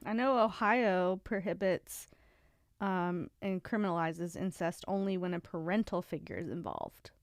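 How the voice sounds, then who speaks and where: A woman's voice: lowish voice, lively intonation, unhurried, no echo, clean studio sound. A young American woman from West Virginia, co-hosting a podcast in an informal, conversational way. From West Virginia, United States. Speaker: podcast co-host. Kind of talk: casual chat.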